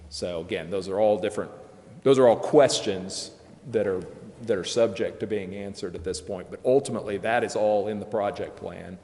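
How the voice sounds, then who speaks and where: Deep-voiced man in a reverberant room. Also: normal speed, darkish tone. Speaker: legal consultant presenting. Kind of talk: explanatory presentation. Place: council chamber.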